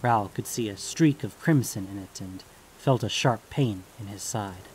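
A man's voice: fairly low pitch, a little slow, a little mellow, slight background noise, poor audio. A man reading scripted fantasy fiction as the narrator of an audio story.

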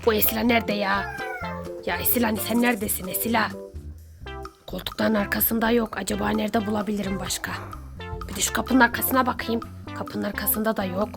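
Woman playing a sneaky hungry neighbor villain, loud music. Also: medium pitch, fairly even intonation, creaky, natural voice. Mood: puzzled, searching. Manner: theatrical muttering to self, drawn-out complaints. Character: sneaky, hungry Hello Neighbor villain, seeker and snack thief. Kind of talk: children's pretend-play skit.

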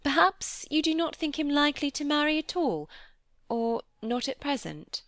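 A woman reading an audiobook, voicing a vivacious, teasing young society lady with animated, exclamatory, inquisitive speech. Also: high voice, normal speed, dry recording, studio quality, pitched above the performer's own voice, full character voice. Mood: teasing, probing. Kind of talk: audiobook character dialogue.

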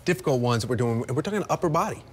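A middle-aged news anchor chats fast and jokes with a studio guest, stumbling over fillers now and then. The lapel mic catches a faint hum in the studio. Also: male voice, mid-range voice, expressive. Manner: chatty, joking, hesitant fillers. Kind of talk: live morning show banter.

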